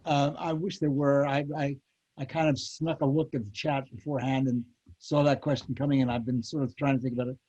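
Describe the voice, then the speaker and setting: A man in his eighties chatting candidly and haltingly as a panel guest, over lo-fi call audio. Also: mid-range voice, flat delivery, dark tone. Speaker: panel guest, former child radio actor. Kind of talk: casual chat on a panel.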